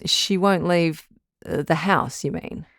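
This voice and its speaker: Low-voiced, calm woman radio counsellor. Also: no echo, clean studio sound, exaggerated voice. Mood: attentive. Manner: measured, tactful, reassuring. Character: calm, patient woman relationship advice radio doctor. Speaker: advice show host. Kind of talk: audio drama phone-in advice.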